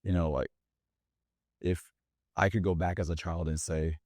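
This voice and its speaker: Deep-voiced guy from brazil, portuguese first language, chatty storytelling, lots of restarts, dry sound. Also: normal speed, no room sound. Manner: animated, with frequent restarts and "like". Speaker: guest, business owner. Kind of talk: casual interview storytelling.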